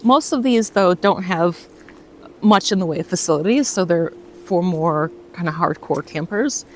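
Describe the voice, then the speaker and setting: A woman's voice: lowish voice, moderate pace, a little hiss or hum. A woman in her forties, from Russia, with a mostly American accent slightly shifted by years in New Zealand, chatting casually and laughing often as she walks outdoors. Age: 40s. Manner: relaxed, chatty, laughs often, many fillers. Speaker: vlogger. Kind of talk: casual rambling chat on a walk. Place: outdoors on a bush walk.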